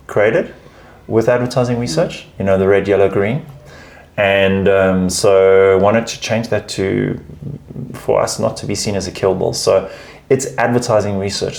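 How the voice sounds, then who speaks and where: Guest spot: man, deep gravelly voice, emphatic chatty answers. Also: a little mellow. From South Africa. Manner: emphatic, repeats words while finding phrasing. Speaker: guest, advertising research lead. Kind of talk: casual interview chat.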